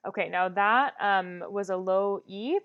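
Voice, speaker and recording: A woman voice teacher leading a vocal warm-up lesson, talking with many "um"s and demonstrating exercises. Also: medium pitch, measured pace, clear, resonant tone, highs cut off.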